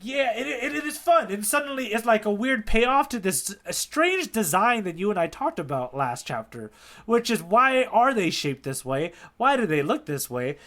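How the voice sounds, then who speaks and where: A man with a slightly high-pitched voice talks as a podcast co-host. His intonation swings widely and sounds animated. The phrasing is informal and slangy, like a relaxed discussion between two fans. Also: moderate pace. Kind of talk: casual manga discussion chat.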